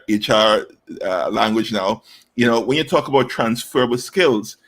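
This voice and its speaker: A man's voice: medium pitch, a bit bright. A man in his thirties from Trinidad and Tobago, answering interview questions earnestly with frequent fillers and restarts. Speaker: guest, inclusive recruitment specialist.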